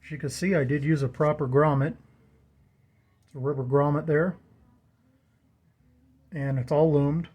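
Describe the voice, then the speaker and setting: A man's voice: a little high, fairly even intonation, unhurried, long pauses, a little mellow, slightly rough. Casual plainspoken man doing a walkthrough. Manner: plainspoken, informal, pointing things out. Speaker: vlogger showing his truck wiring project.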